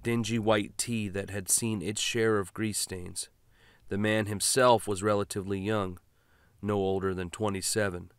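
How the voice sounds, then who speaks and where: A man's voice: on the low side, smooth, no echo, clean studio sound. A man reading scripted fiction as an audiobook narrator.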